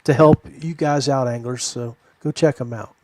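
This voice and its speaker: A man's voice: mid-range voice, steady tone, normal speed, a little mellow. A man hosting a podcast in casual chat, with a folksy way of addressing his listeners. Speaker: podcast host. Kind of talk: casual chat about fishing gear.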